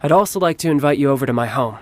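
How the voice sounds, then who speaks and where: A man's voice: medium pitch, expressive, rapid-fire, lightly acted. A man playing a suave, playful young warrior and doting big brother with a polished, teasing manner in a video game. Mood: confident, friendly. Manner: polished, smooth-talking, teasing. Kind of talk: English game dub acting. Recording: background music under the voice.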